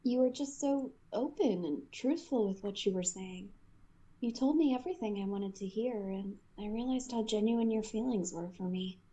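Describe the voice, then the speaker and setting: A woman's voice: mid-range voice, normal speed, dark tone, natural voice. A woman playing a tender, hesitant, sincere young woman in love, in a fan dub style. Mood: earnest, tender. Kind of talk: fan comic dub acting.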